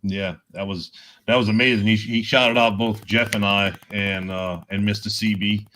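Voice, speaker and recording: Bright, forceful man in his forties. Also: fairly low pitch, steady tone, moderate pace. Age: 40s. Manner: grateful, enthusiastic. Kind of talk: casual group chat.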